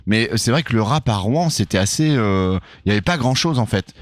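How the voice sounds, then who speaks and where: Male radio host, low-pitched, loose rambling questions, dry studio. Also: lively intonation, fairly quick, no room sound. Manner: rambling, many hesitations and restarts. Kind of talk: casual radio interview.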